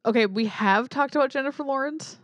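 A woman's voice: high-pitched, fairly quick, no echo. Blunt skeptical woman podcaster. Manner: blunt, skeptical, trails off mid-thought. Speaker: podcast co-host. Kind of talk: casual movie-review chat.

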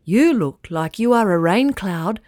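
Casting: a big, kindly fluffy cloud, calm and welcoming, slow storybook read, by a woman. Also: medium pitch, noisy background, lightly acted.

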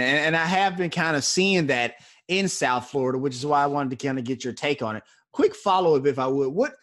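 A man hosting a startup podcast in an upbeat, energetic way, often repeating short phrases of agreement. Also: on the high side. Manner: upbeat, repeats phrases like "for sure" and "got you". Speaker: podcast host.

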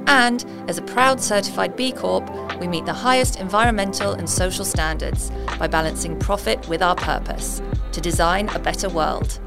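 A woman reading a scripted sponsor message in a measured, polished way. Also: lowish voice, gravelly, over music. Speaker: ad announcer. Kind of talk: scripted advert read.

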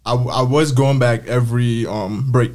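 A young man from the Caribbean chats in a relaxed, easygoing way as a podcast guest. He laughs easily and tells his story plainly, with a voice of middle pitch. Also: unhurried. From Caribbean, Saint Croix. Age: young adult. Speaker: guest, campus barber. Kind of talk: casual podcast chat.

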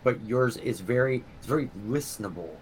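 A man guesting on a podcast as an artist, talking enthusiastically in halting bursts and swearing freely. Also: medium pitch, expressive, a little hiss or hum, low-quality recording.

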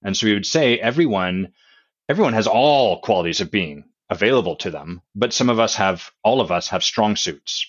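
Low-voiced man explaining his ideas. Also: expressive, normal speed, lo-fi sound. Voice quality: loud voice. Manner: explains concepts with analogies and examples. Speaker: author and guest. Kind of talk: conversational podcast explanation.

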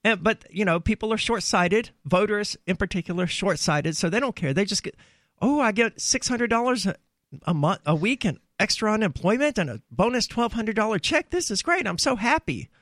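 A man's voice: sits high, lively intonation, normal speed, a bit bright, no room sound, clean studio sound. A man hosting a call-in talk radio show, blunt and opinionated with a sarcastic edge.

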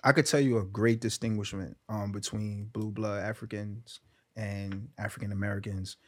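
A man's voice: lowish voice, steady tone, normal speed. A young man, a podcast guest talking frankly and casually, with lots of "like" as he goes. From Brooklyn, New York. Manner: frank, laid-back, heavy use of "like".

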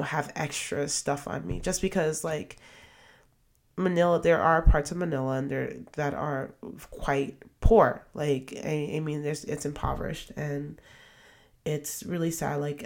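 Young adult woman podcast host with a cold, low and gravelly voice, loose rambling delivery. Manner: chatty, rambling, many fillers and restarts. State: sniffly from a cold. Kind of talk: casual solo podcast monologue.

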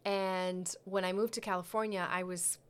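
A woman's voice: on the low side, fairly even intonation, normal speed, clear, resonant tone. A woman from New York co-hosting a podcast, chatting casually and joking with frequent laughter. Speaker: podcast co-host. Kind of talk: casual chat.

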